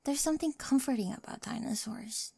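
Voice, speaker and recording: A woman's voice: fairly high pitch, brisk, vocal fry, warm tone, breathy edge, dry recording, exaggerated voice. A woman in an ASMR roleplay, playing a quirky, awkward teenage girl with a crush who rambles nervously and stammers to the listener. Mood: soft, wistful. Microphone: close microphone, dry.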